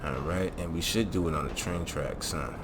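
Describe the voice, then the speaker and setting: A man's voice: low-pitched, moderate pace, noisy background. A man hosting a casual, slangy video game mod tutorial in an informal, laid-back way. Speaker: gaming channel host. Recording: music behind the voice. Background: music.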